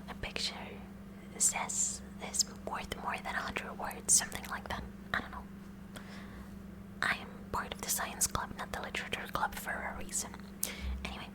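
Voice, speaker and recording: A woman playing a kooky, eager high school science club president in a breathy whispered ASMR roleplay, rambling hesitantly. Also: lightly acted, rough recording. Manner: slow, deliberate, hesitant rambling with filler phrases. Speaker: ASMR performer.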